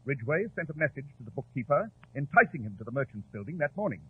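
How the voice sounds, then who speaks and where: An actor playing a brilliant, coolly superior detective in a 1940s radio drama, speaking precisely and theatrically. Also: male voice, medium pitch, very animated, fast talker, lightly acted. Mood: calm, explanatory. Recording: tinny, noisy 1940s radio recording.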